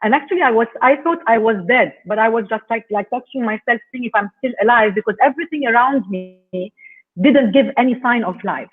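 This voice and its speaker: A woman's voice: fairly high pitch, slightly rough. Woman from Lebanon over tinny call audio. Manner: earnest, measured, careful phrasing. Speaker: guest speaker. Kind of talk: reflective personal talk on a video call. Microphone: video call.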